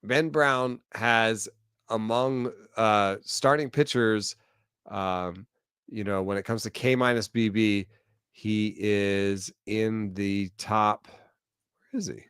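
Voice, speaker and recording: A man who is a recurring guest on a podcast, thinking aloud through pitching numbers with dry humor. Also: steady tone, slow pace, a bit bright, smooth, no room sound. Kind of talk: casual baseball analysis chat.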